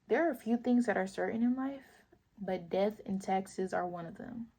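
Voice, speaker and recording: A young woman vlogs straight to her viewers in an earnest, emphatic, slangy way. Her voice is raspy, with a gravelly fry and a husky, breathy edge. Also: medium pitch. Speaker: vlogger. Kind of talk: casual opinion vlog.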